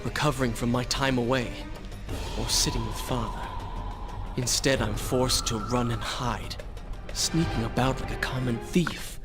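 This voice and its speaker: Man as a brooding prince hero over loud music. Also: medium pitch, fairly even intonation, measured pace, natural voice. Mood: brooding, uneasy. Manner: earnest, brooding. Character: troubled, earnest hunted young prince, action hero. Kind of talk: dramatic video game monologue.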